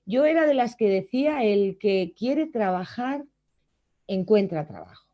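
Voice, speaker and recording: A middle-aged woman from Germany telling her personal work story in an earnest, reflective way, often pausing to hesitate. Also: mid-range voice, lively intonation, dark tone, highs cut off. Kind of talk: personal testimony.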